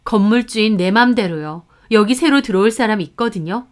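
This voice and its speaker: A woman's voice: medium pitch, animated, fairly quick, lightly acted. A woman voicing a fierce older sister figure who confronts others firmly and sharply. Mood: cold, threatening.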